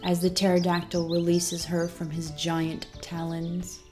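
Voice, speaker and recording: A woman reading a scripted children's story as narrator, in an expressive, deliberate storytelling manner. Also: lowish voice, soft background music.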